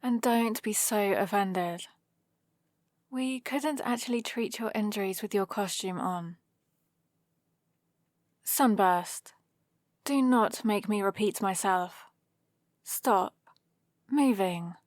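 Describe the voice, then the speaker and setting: A woman's voice: medium pitch, slow pace, pauses often, dry recording, close to an everyday voice. A British woman playing a haughty supervillain in an ASMR roleplay, dry, sardonic and commanding, with a grudgingly caring streak, close to the microphone. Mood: commanding, threatening. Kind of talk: ASMR roleplay, acted monologue to the listener.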